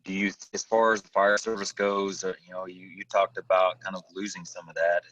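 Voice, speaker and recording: A man's voice: sits low, level tone, brisk, fairly bright tone. A man hosting a podcast interview, rambling and hesitant as he searches for his words. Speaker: podcast host. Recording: dull, distant dry remote audio.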